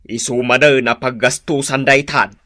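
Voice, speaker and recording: A man's voice: medium pitch, fairly even intonation, normal speed, pushed and bright, no room sound, clean studio sound, close to an everyday voice. A man playing a grumpy, nagging husband in a comedy radio drama, with an Ilocano accent. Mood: suspicious, grumbling.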